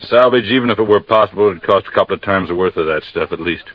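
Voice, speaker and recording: An American actor playing a matter-of-fact, folksy Coast Guard captain explaining things in a 1950s radio drama. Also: male voice, sits low, fast talker, no echo. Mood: chatty. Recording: dull, dry vintage radio recording.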